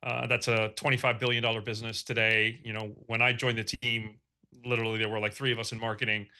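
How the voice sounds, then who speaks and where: Male guest from Belgium with an American accent, thoughtful and chatty, bright tone. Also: medium pitch, flat delivery, quick pace. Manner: thoughtful, with many fillers and restarts. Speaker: guest, marketing executive. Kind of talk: conversational interview answers.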